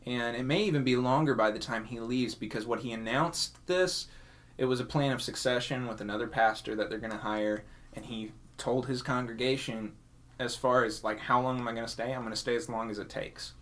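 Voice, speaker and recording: A man's voice: medium pitch, steady tone, brisk, a bit bright. Male podcast host, mid-range voice, informal and conversational. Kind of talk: casual podcast chat.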